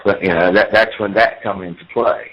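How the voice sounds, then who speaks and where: A man's voice: fairly low pitch, fairly even intonation, a little fast, lo-fi sound. A man in his forties, a professional wrestler, talking at length in an interview, repeating himself as he tells his story. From United States. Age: 40s.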